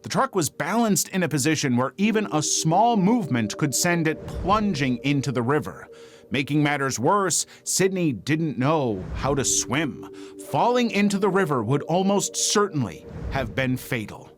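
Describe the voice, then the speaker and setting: A man reading scripted true-crime documentary narration in a dramatic, measured storytelling manner. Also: on the high side, sing-song, noisy background. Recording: faint music bed under narration. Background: background music.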